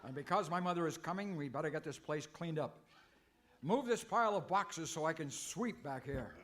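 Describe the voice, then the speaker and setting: Man acting a flustered cowboy hero, fairly high voice. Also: animated, moderate pace, fairly bright tone. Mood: sheepish. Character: flustered, sheepish masked cowboy hero at home. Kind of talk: old-time radio comedy acting.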